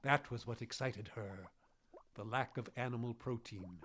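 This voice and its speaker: An actor playing an elderly, guilt-ridden scientist with failing memory, speaking formally and haltingly in a radio drama. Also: male voice, mid-range voice, fairly bright tone, natural voice. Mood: regretful, anguished. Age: older. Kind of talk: live radio drama acting. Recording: noisy live radio drama recording.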